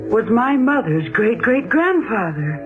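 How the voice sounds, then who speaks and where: Slow, awed young woman, old radio. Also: a little high, big pitch swings. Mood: awed, dawning realisation. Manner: halting, hushed, dramatic. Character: earnest, awed young woman, the patriarch's descendant. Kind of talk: 1940s radio acting. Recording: tinny 1940s radio recording, music behind.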